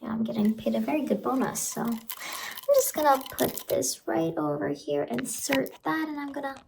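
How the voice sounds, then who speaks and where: Woman playing a high, creaky, sinister whispering clown. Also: fairly even intonation, background noise. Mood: sinister glee. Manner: soft, coaxing, unhurried murmuring to the listener, often whispering. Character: sinister playful immortal clown woman who harvests children's blood. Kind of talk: whispery horror ASMR roleplay.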